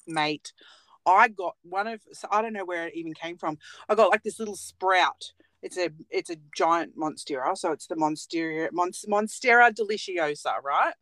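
A woman's voice: lowish voice, normal speed, fairly bright tone, no room sound. Joking woman in her late thirties, dry sound. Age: 30s. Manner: joking, self-mocking, laughs often. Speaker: podcast co-host, nail technician. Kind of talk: casual chat. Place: small cupboard at home.

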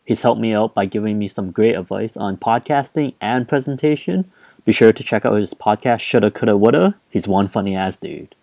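A man's voice: lowish voice, animated, a little fast, vocal fry, a little mellow. A man in his twenties with a Canadian accent, hosting a podcast in a rambling, easygoing way full of filler words. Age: 20s. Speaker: podcast host. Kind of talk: casual chat.

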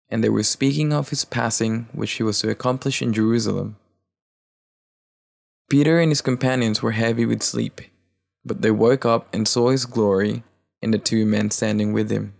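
A man's voice: medium pitch, gravelly, darkish tone. A man reading a gospel passage aloud as a volunteer reader.

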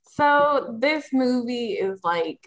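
A woman's voice: a little high, lively intonation, takes it slowly, poor audio. A woman co-hosting a casual movie podcast, talking in a chatty way with lots of fillers. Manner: chatty, nostalgic, filler-heavy. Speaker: podcast co-host.

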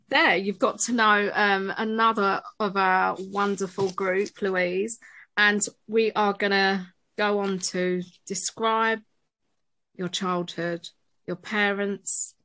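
A woman hosting a group podcast at a middling pitch. Her delivery is gentle and halting, full of small hesitations and restarts as she gathers her thoughts. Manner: gentle, halting, frequent fillers. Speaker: host.

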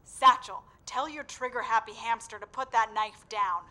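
Woman playing a harsh lady raccoon general, bright sharp voice, chewing out her troops. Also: fairly high pitch, normal speed. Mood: scolding. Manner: clipped, scolding commands. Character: stern scornful female raccoon general.

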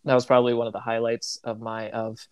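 A man's voice: medium pitch, flat delivery, fast, clear, resonant tone, dry recording. A man who grew up in Tanzania, a missionary, telling a story in casual conversation and often restarting his sentences. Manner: rambling, self-correcting, reflective.

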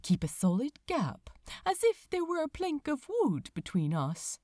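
A woman voicing a refined, mischievous gentleman who teases with prim mock propriety in a storybook reading. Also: sits high, huge pitch swings, no echo, studio quality, pitched above the performer's own voice, heavily acted. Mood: playfully prim. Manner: prim, mock-proper, deliberate innocence. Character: refined, mischievous gentleman, teasing dance tutor.

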